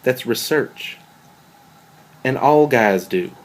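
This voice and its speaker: A man's voice: medium pitch, expressive, slow pace. A man reading as a jealous, needling boyfriend. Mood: defensive. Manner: questioning, conversational, wordy. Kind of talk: table read of a two-person stage play.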